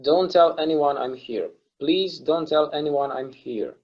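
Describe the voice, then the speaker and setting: A man's voice: on the high side, unhurried, lo-fi sound. A man reading the part of an irritable, secretive recluse in a stage play table read, speaking in a curt, defensive, grudging way. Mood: anxious, insistent. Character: guarded, irritable male recluse, thousands of years in hiding.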